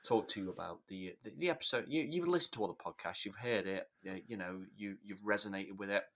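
Bright teasing male podcast host. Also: fairly low pitch, fast. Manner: teasing, dry humor. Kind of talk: casual chat.

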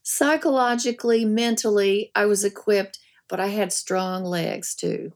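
An older woman with a gravelly, fry-tinged voice tells folksy stories as an interview guest. She talks in an animated, down-to-earth way at a medium pitch. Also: a little slow. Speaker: author and guest. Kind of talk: storytelling in conversation.

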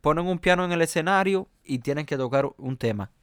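Male jazz pianist, Cuban accent, resonant voice, halting conversational delivery. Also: fairly high pitch, lively intonation, fairly bright tone, clear, resonant tone, no room sound, studio quality. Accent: Cuban Spanish. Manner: hesitant, many fillers and restarts. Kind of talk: conversational interview.

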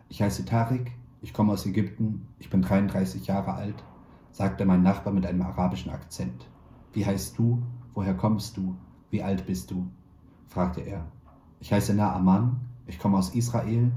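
A man reading a short story aloud in a calm, measured way, lightly voicing the dialogue. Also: low-pitched, roomy sound. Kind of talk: literary reading.

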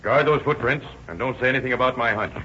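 An actor playing a determined motor cop in an American 1930s radio crime drama. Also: male voice, medium pitch, quick pace, sharp tone, natural voice. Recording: noisy, dull old radio recording.

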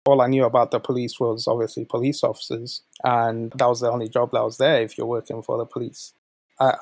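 Even-toned man in his late twenties. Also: mid-range voice, no room sound. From born in Zambia, moved to the UK at twelve. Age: late 20s. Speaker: podcast guest, volunteer manager. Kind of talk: conversational interview answers.

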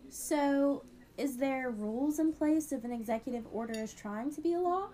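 Higher-pitched woman, inquisitive podcast co-host. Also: normal speed, mellow. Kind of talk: scripted educational dialogue.

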